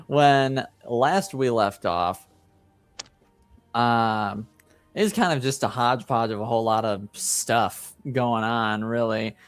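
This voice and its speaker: Slow, clear-voiced man, wryly amused. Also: medium pitch, no echo, soft background music. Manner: hesitant, wry, amused. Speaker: game master and host. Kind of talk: casual recap of a tabletop game.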